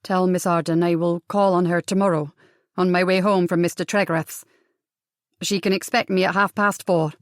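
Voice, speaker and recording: A woman playing a reserved, diffident male architect in an audiobook, speaking in a measured, understated, hesitant way. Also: fairly low pitch, fairly even intonation, dry recording, clean studio sound, natural voice. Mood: restrained, polite. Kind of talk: audiobook character dialogue.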